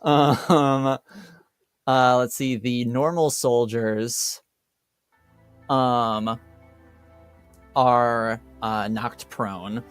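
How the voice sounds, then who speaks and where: Male game master, smooth and unhurried, halting improvised narration, casual tabletop feel. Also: medium pitch, steady tone, no room sound, studio quality, soft background music. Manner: halting, thinking aloud.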